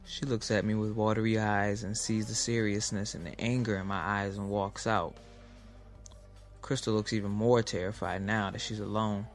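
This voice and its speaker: A man's voice: lowish voice, flat delivery, normal speed, faint music behind, close to an everyday voice. An actor playing a hot-tempered young man in an audio drama, with casual, slangy American speech, in dialogue and first-person narration. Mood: tense narration.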